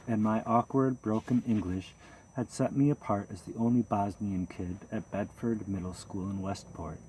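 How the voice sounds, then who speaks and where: Low, mellow-voiced man reading a memoir. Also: a little hiss or hum, low-quality recording. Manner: measured, steady storytelling. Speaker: audiobook narrator. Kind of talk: first-person memoir reading.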